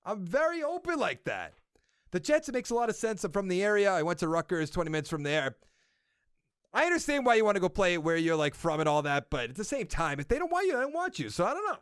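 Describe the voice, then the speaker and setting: A man's voice: high voice, very animated, quick pace, clean tone, dry recording, studio quality. A young man with a Boston accent hosting a casual sports podcast, rambling and thinking aloud as he corrects himself. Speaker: podcast host.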